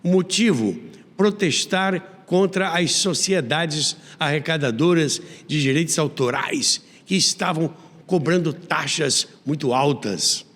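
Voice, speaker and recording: A man's voice: fairly high pitch, takes it slowly, a bit bright. A Brazilian man hosting a history programme, narrating like a storyteller with emphatic, old-school radio announcer delivery. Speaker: radio history podcast host. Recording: long reverb on voice.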